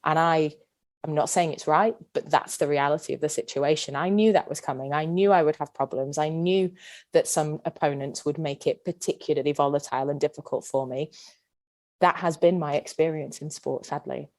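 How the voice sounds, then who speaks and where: Podcast guest: woman in her thirties from Yorkshire, low voice, earnest and deliberate. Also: darkish tone. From Yorkshire, England. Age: 30s. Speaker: guest, PE teacher and former athlete. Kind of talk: reflective podcast interview.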